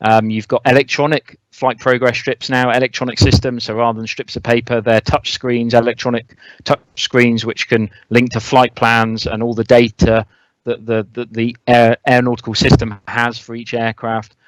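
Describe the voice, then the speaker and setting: A man speaking as an expert interview guest, explaining things in a measured, conversational way. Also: medium pitch, flat delivery, dry recording, rough recording. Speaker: air traffic controller, interview guest.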